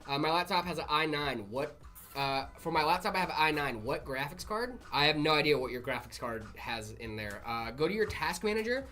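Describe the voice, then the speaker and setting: A man's voice: fairly high pitch, expressive, brisk, bright, forceful tone. A man hosting a livestream, chatting casually in informal bro slang with lots of hesitant fillers as he reads out and answers viewer comments. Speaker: livestream host at a PC builder.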